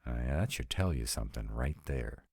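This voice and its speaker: A man's voice: rumbling low, expressive, moderate pace, darkish tone, husky, dry recording, heavily acted. A man voicing a sarcastic, exasperated vampire in a scripted roleplay audio, talking to his partner with dry, emphatic delivery. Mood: wary, emphatic. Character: sarcastic male vampire, exasperated, anxious about in-laws.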